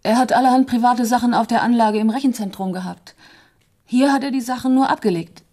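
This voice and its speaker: An actress playing a reserved, quietly grieving woman in a radio drama, speaking with restraint and some hesitation. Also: fairly high pitch, fairly quick, lightly acted. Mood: matter-of-fact.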